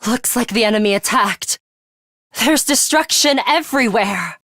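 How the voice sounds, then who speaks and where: A woman voicing a coolly pragmatic, blunt young female fighter in a video game, speaking in a measured, serious, clipped way. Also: fairly high pitch, lively intonation, fairly bright tone, no echo, clean studio sound. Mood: cool, analytical. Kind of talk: English video game voice acting.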